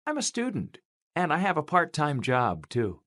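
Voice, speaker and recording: Woman acting a curious conversation partner, low voice, hugely animated intonation, scripted lesson dialogue. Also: normal speed, dry recording, clean studio sound, heavily acted. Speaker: voice actor in English lesson dialogues.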